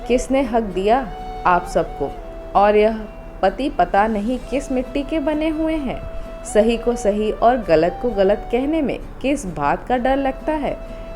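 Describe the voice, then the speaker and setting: A woman's voice: a little high, animated, slow, voice pushed up. A woman voicing a young married daughter-in-law in an audio story, hurt and indignant, firmly standing up for herself, over music. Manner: firm, indignant, emotional protesting.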